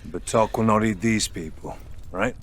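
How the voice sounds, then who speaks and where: A man's voice: fairly low pitch, steady tone, moderate pace, a little hiss or hum, natural voice. A man playing a practical, long-suffering fantasy hero in a video game, with a dry, sardonic delivery. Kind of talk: video game character acting.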